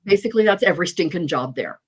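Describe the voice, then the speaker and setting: A woman in her forties sharing openly in a casual recovery meeting, talking haltingly with many pauses. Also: on the low side, expressive, breathy edge. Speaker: meeting participant. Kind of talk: casual chat.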